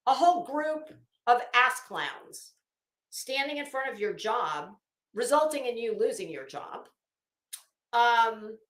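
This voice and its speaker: A high-pitched woman hosts a casual livestream, reading aloud and commenting in a sarcastic, theatrical way. She takes her time and swears freely. The room rings with echo around her voice. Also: fairly bright tone. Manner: sarcastic, mocking, profane. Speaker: livestream host. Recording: echoey untreated room.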